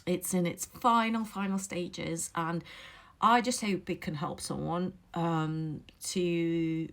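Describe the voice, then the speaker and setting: A middle-aged woman from Latvia, whose first language is Latvian, speaks English with a Latvian accent touched by Derbyshire English. She talks casually from home and pauses often to choose her words. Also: fairly low pitch, steady tone, a bit bright. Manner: thoughtful, many pauses and fillers, careful phrasing. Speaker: memoir author and vlogger. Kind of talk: casual reflective monologue to camera. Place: home, indoors.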